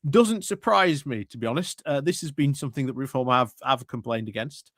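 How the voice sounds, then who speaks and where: A man giving casual reaction commentary, speaking in a wry, halting way. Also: medium pitch, fairly bright tone, no echo, clean studio sound. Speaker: streamer commentator.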